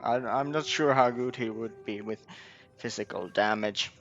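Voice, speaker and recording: A man's voice: mid-range voice, moderate pace, lo-fi sound. A man giving casual gameplay commentary, hesitantly thinking aloud. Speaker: gamer recording gameplay. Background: soft game music.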